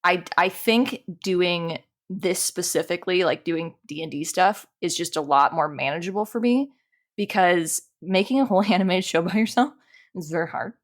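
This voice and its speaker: Casual, chatty woman with a lowish voice. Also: moderate pace. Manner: chatty, informal, self-deprecating, often laughing. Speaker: podcast guest, animator and dungeon master. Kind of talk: casual interview chat.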